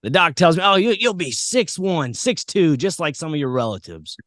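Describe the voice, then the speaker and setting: A man's voice: on the high side, very animated, moderate pace, a bit bright, no room sound, clean studio sound. An American man hosting a podcast, chatting in a casual, rambling, informal way as he interviews. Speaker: podcast host. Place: podcast set.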